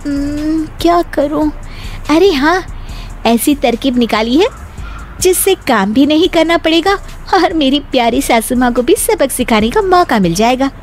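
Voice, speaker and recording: A lazy young wife in a cartoon story who mutters sly plans to herself, as a woman voices her. Her voice is high and lively in pitch. Faint music plays under it. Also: a little slow. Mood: scheming. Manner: sly, scheming asides.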